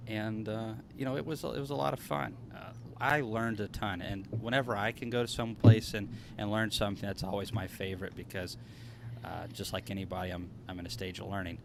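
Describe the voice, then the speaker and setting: A man's voice: on the low side, level tone, brisk, creaky, background noise. A man chatting casually as a guest, folksy and given to joking. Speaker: conference speaker, livestream guest. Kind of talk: casual chat.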